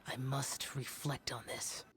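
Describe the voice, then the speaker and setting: A man's voice: medium pitch, level tone, slow pace, a bit bright. An actor voicing a stern, aloof young male warrior guardian in a game, speaking in a grave, formal, reserved way. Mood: solemn. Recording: game audio with faint music.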